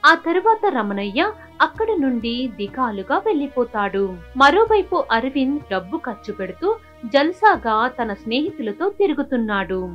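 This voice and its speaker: A woman's voice: sits high, big pitch swings, moderate pace, dull audio, faint music behind. A woman narrating a scripted moral story for children.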